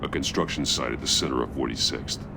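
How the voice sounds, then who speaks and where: Brooding masked vigilante, extremely low, heavy gravelly growl, terse, controlled, video game drama. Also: male voice, background noise. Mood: grim, focused. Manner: terse, deliberate.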